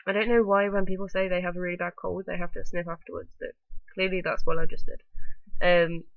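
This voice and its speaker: A woman's voice: lowish voice, flat delivery, a little fast, no room sound, narrow, tinny audio. A woman vlogging to camera with a blocked-up nose from a bad cold, rambling along with lots of ums and likes. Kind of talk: casual talking-to-camera vlog.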